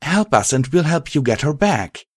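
A man's voice: on the high side, very animated, normal speed, breathy edge, no room sound, studio quality, voice pushed up. A man voicing a fiery, indignant female pony in a fantasy storybook reading, with an urgent manner.

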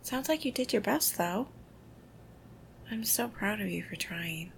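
A woman doing a whispered ASMR girlfriend roleplay, soft, intimate and affectionate. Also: medium pitch, lively intonation, a little slow, vocal fry, slight background noise.

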